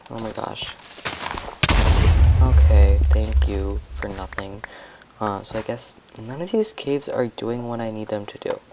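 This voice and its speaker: A hoarse young man talks his way through a video game, rambling and chatting to viewers as he plays. His voice has a gravelly, croaky edge. The recording carries a clear hiss of noise underneath. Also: lowish voice, measured pace. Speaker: gameplay commentator. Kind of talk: casual gameplay commentary.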